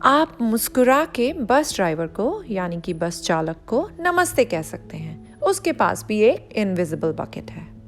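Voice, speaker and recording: A woman's voice: mid-range voice, sing-song, a little slow, faint music behind. A woman reading a picture book aloud to children, explaining as she goes and speaking straight to the young listener. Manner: explanatory, addresses the child listener directly, glosses words. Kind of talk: expressive read-aloud narration for children.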